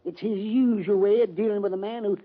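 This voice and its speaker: A man playing a stubborn, gruff old sheriff in a radio western. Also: high voice, fairly even intonation, fairly quick. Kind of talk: 1940s-50s radio western acting. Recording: muffled old-time radio recording.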